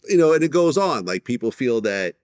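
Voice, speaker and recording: A man's voice: mid-range voice, sing-song, brisk, darkish tone, no room sound, studio quality. An American man working as an interviewer, asking questions and commenting in a casual, conversational style.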